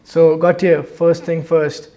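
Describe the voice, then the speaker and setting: A man's voice: a little high, flat delivery, slow pace, warm tone. A man hosting a founder interview podcast, asking questions in an upbeat, casual way. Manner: upbeat, friendly, casual phrasing. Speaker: podcast host.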